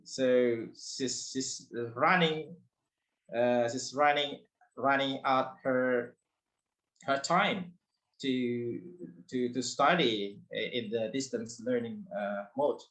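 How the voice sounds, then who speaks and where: Guy giving a conference talk, lots of uh's and restarts, middle pitch. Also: fairly even intonation, slow, a bit bright, poor audio. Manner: hesitant, many fillers and restarts. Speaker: academic presenter. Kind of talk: conference research presentation.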